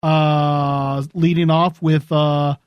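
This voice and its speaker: A man with a moderately high voice co-hosts a casual talk show. He speaks in a measured, hesitant way, and the recording is dry with little room sound. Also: level tone, clear, resonant tone, no room sound, lo-fi sound. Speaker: co-host. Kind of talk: casual chat.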